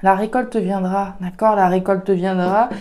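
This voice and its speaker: A woman's voice: mid-range voice, fairly even intonation, measured pace, darkish tone. A woman with a regional French accent doing a casual card reading for viewers, thinking aloud with frequent fillers and restarts. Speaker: tarot and oracle card reader.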